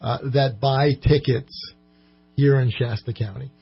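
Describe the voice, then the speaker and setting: A man with a gravelly, husky voice of medium pitch speaks as an interview guest. He explains in a measured, halting way, on slightly muffled radio audio. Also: fairly even intonation, soft background music. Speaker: economic development corporation president, interview guest. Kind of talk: informal radio interview answers.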